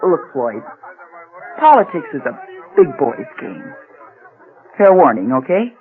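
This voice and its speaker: An actress playing a brisk, teasing, forthright woman politician in a radio drama, direct and wry. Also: low voice, sing-song, narrow, tinny audio.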